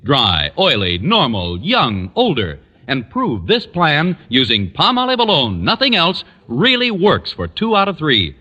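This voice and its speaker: Male vintage radio ad announcer. Swooping, highly animated pitch, emphatic selling, long reverberant old broadcast sound. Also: a little slow, few pauses, muffled recording. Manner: emphatic, punchy sales delivery. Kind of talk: 1940s radio advertisement announcing.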